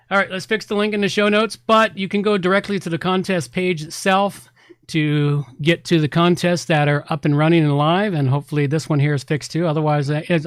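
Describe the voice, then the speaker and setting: A man's voice: a little high, no room sound, clean studio sound. A man hosting a podcast, talking casually and informally in a rambling way as he gives announcements. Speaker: podcast host.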